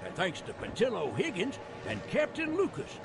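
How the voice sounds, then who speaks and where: A folksy old-timer of the American West narrating a game cutscene in a jovial drawl. His pitch sits high for a man and swoops enormously from phrase to phrase. The delivery is theatrical and colloquial, over a clearly noisy recording. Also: huge pitch swings, moderate pace, exaggerated voice. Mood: folksy storytelling. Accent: American Southern or Western drawl.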